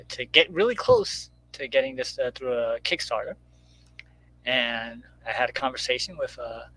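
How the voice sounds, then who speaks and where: A middle-aged man chatting casually on a video call, explaining things in a halting way. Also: mid-range voice, fairly bright tone. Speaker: guest writer and radio show host. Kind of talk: casual chat. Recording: clearly noisy line with hum.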